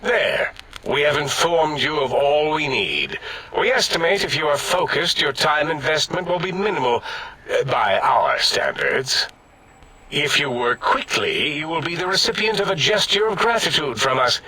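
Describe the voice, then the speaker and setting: Game role: imperious robot scientist, grandiose and pedantic, piercingly bright, raspy tone. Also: male voice, fairly high pitch, very animated, normal speed, exaggerated voice, poor audio. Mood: self-satisfied. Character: pompous, imperious robot scientist brain, cowardly and squeamish. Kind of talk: video game character acting.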